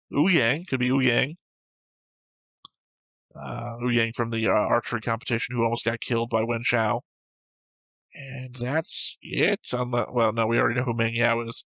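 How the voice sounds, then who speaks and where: Male reaction-show co-host, bright, gravelly edge, even delivery, dry teasing banter, close dry sound. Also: medium pitch, no room sound. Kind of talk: casual unscripted banter and commentary.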